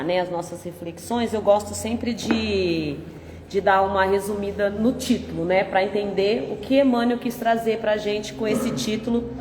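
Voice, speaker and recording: A Brazilian woman giving a gentle, conversational spiritist talk with a nasal, congested voice from a cold. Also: mid-range voice, a little hiss or hum. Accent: Brazilian Portuguese. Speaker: spiritist lecturer. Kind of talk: public talk with opening prayer. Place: large hall of a spiritist center. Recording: echoey hall with long reverb.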